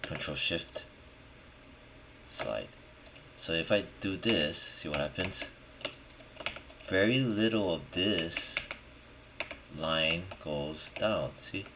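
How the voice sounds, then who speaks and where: A man thinking aloud in a casual screen-recorded software tutorial, muttering in short, slangy fragments as he works. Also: deep voice, expressive, slow, long pauses. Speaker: 3D modeling tutorial host. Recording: clear background noise, keyboard and mouse clicks. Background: typing and mouse clicking.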